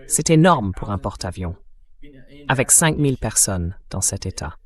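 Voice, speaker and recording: A man's voice: fairly low pitch, sing-song, normal speed. A man voicing a translated interview as the host, laying out news reports and putting questions.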